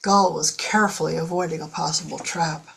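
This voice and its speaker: Female narrator, scripted audio drama storytelling, lowish pitch. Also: steady tone, fairly quick, vocal fry, fairly bright tone, a little hiss or hum.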